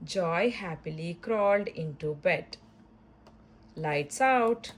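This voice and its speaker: A woman reading a children's story aloud in an expressive, deliberate storytelling style. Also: lowish voice, a little hiss or hum. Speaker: storybook reader.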